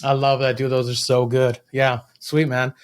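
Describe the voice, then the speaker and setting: A man's voice: medium pitch, monotone, moderate pace. An American man hosting a casual interview, informal and affirming, with slangy phrasing. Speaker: podcast host, interviewer.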